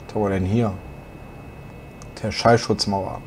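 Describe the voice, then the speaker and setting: A young man with a slight cold, hoarse, chatting casually as he plays a truck driving game for his channel. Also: on the low side, a little slow, a little hiss or hum. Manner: relaxed, chatty, musing aloud while driving. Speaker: gaming channel host.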